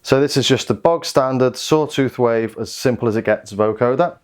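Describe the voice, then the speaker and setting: A man's voice: medium pitch, moderate pace, a little hiss or hum. A man giving a casual product demonstration, informal and understated, thinking aloud as he goes. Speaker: synth reviewer and demonstrator.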